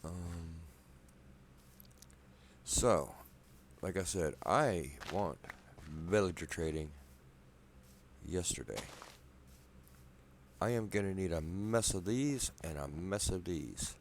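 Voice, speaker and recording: A man quietly rambling through casual gameplay commentary in his apartment at night, a bit wheezy from a cold, soft-spoken and self-deprecating. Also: deep voice, expressive, slow, pauses often, a little hiss or hum. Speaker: gameplay video maker. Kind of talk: casual let's play commentary.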